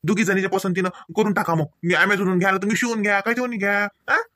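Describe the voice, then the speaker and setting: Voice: mid-range voice, steady tone, fairly quick, pushed and bright, dry recording, studio quality, lightly acted. An actor voicing an eager, polite, easygoing college-age young man in a comedy cartoon, speaking colloquial Marathi with a Varhadi accent. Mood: eager, reassuring. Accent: Varhadi Marathi from Vidarbha. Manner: colloquial, obliging, reassuring.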